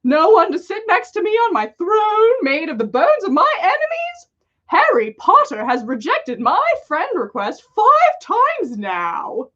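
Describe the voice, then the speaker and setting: A woman voicing a vain, whiny male dark lord in a haughty, self-pitying, theatrical way for a fan fiction story. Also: very high voice, huge pitch swings, measured pace, dull audio, pitched above the performer's own voice, exaggerated voice. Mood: dramatic self-pity.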